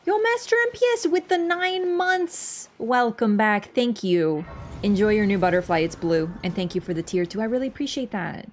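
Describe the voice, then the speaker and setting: A woman's voice: medium pitch, sing-song, moderate pace, faint music behind. A woman with a Toronto accent streaming a video game, chatting with viewers in a rambling, self-deprecating way. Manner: scatterbrained and rambling, self-deprecating, loses her train of thought. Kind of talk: casual livestream chat, reading and thanking viewers.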